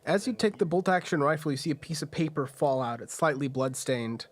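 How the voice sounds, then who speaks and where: Higher-voiced man running a tabletop game. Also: animated, fairly quick. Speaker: game master.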